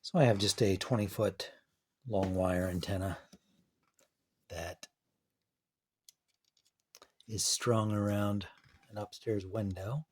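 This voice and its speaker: A man's voice: fairly low pitch, steady tone, slow pace, long pauses, a little mellow. A man giving a casual, offhand hands-on demonstration of a radio as its reviewer. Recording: clear background radio static noise. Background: shortwave radio noise.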